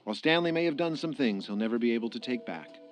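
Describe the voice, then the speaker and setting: An actor delivering scripted narration as the solemn storyteller of a radio crime drama. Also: male voice, medium pitch, lively intonation, fast, natural voice. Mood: reflective. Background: music.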